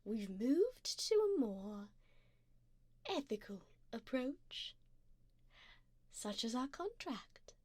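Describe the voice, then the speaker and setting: Woman doing an ancient vampire sugar mommy, asmr roleplay, breathy whispers, kinda high voice, real slow, doting and seductive. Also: big pitch swings, a little mellow, no room sound, full character voice. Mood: composed, persuasive. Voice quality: breathy, often dropping into whispers. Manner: slow, intimate, formal and coaxing. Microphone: close binaural microphone.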